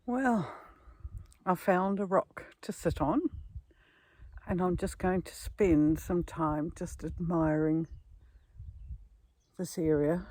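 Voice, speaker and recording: A man's voice: sits high, takes it slowly, long pauses, darkish tone, no room sound. A man from New Zealand chatting casually to camera as a travel vlogger on a walk, musing aloud in short phrases. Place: outdoors at a beach. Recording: outdoor noise, faint clicks.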